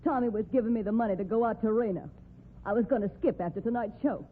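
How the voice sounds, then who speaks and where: An actress with an American accent playing a sharp-tongued, scheming cowboy's wife in old radio drama, with combative, slangy folksy speech. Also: female voice, on the high side, fast talker, a little hiss or hum, close to an everyday voice. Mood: defiant. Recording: tinny, narrow-band old radio recording.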